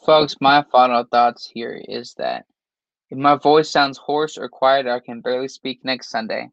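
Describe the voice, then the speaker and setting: A man's voice: mid-range voice, a little slow, creaky, dry recording. Dry, lo-fi man teasing his co-host. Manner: animated, teasing and emphatic. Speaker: sports podcast co-host. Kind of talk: casual sports talk sign-off. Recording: dry, dull lo-fi mic.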